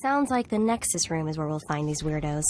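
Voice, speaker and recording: Brief: a snarky game sidekick, lively pitch, wry and blunt. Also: female voice, brisk, a little hiss or hum. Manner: wry, sardonic asides. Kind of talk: video game cutscene acting.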